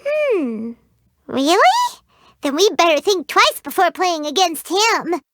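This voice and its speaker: This is a tiny, chirpy floating companion sprite for a video game. The voice sits very high and sparkles with brightness, leaping around with lively swings of pitch. She chatters bubbly and nosy, calling herself by her own name. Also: takes it slowly, dry recording, studio quality, heavily acted. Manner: bubbly, talks about herself in third person.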